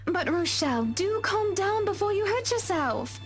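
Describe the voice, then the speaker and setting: A man putting on a high falsetto to voice a poised, catty young wife. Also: very animated, normal speed, fairly bright tone, soft background music, exaggerated voice. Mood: prim. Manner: prim, falsetto.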